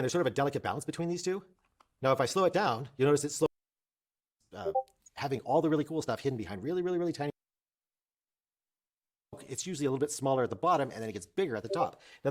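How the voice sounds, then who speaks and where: A man instructing in a casual screen-share tutorial, thinking aloud and explaining informally, often restating points to make them clear. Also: mid-range voice. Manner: informal, explanatory, repeats himself to clarify.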